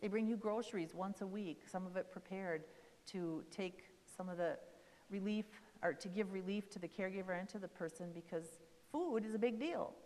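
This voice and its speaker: A woman's voice: medium pitch, moderate pace, a little mellow. A woman social worker talking casually at a church caregiver seminar, friendly and rambling with many fillers. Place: church meeting hall. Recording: echoey hall.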